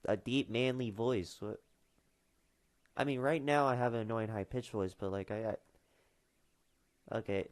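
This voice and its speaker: A man's voice: fairly low pitch, a little slow, long pauses, dry recording. A teenage boy streaming casually, mumbling and trailing off with fillers as he reads questions aloud. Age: teens. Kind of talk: casual livestream chat.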